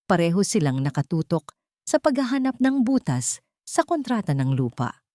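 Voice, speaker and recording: A woman narrating a scripted love story in a measured, dramatic way. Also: medium pitch, very animated, mellow, dry recording, studio quality.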